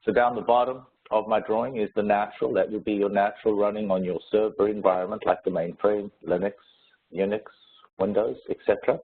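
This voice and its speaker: A man with a stuffy head cold, presenting a webinar in a relaxed, conversational way with fillers. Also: lowish voice, fairly quick, breathy edge. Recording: tinny phone-line webcast audio.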